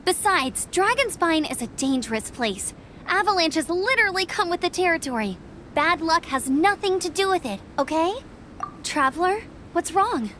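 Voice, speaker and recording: A woman voicing a bold young female adventurer in a game, brisk and encouraging. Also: very high-pitched, expressive, a bit bright, slight background noise. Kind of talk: game cutscene voice acting. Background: game music.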